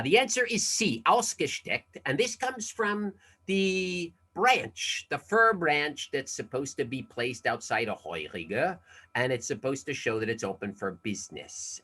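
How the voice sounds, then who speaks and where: A man's voice: medium pitch, sharp tone, breathy edge. An older man running a casual quiz on a video call, chatty and self-deprecating, with many asides and fillers. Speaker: alumni event quiz presenter. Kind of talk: casual online presentation.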